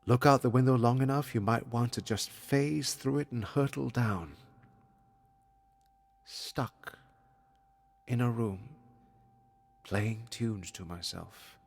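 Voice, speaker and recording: An actor playing a withdrawn, brooding pianist in an audio drama, with a husky, creaky voice and a terse, guarded manner. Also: male voice, mid-range voice, takes it slowly, long pauses. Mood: brooding, despairing. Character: withdrawn, brooding male pianist in a strained romance. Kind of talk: naturalistic audio drama acting with inner monologue.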